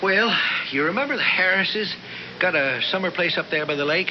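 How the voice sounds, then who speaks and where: A man's voice: high-pitched, lively intonation, moderate pace. A man acting a folksy, worried small-town storekeeper with a rural American accent in a 1970s radio drama. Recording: muffled, noisy old radio recording.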